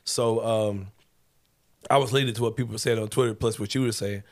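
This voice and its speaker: A man's voice: lowish voice, steady tone, brisk. A man, hosting a casual sports talk show in a laid-back, joking, slangy way. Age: 40s. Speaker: podcast host.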